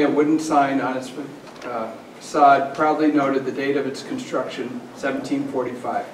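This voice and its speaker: A man reading a formal letter aloud at a town meeting, stumbling and repeating phrases now and then. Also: mid-range voice. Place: large indoor municipal meeting room. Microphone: far camera microphone. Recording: distant, echoey meeting room with background noise.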